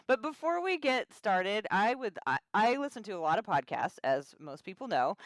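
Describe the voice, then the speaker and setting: A woman's voice: mid-range voice, no room sound. A woman hosting a podcast who chats casually in a friendly, hesitant way. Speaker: podcast host.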